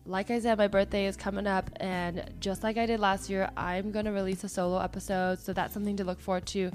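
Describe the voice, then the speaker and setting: A woman hosting a podcast in a casual, chatty way, her voice hoarse and partly lost after travel, with many fillers. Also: mid-range voice, little pitch movement, fast talker, faint music behind. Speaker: podcast host.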